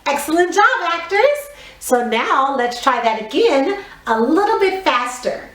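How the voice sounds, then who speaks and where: Lively, high-voiced woman teaching children drama. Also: a little slow. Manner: encouraging, instructional, clearly phrased step-by-step directions. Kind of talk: guided theatre warm-up lesson for young children. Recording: roomy echo, distant microphone.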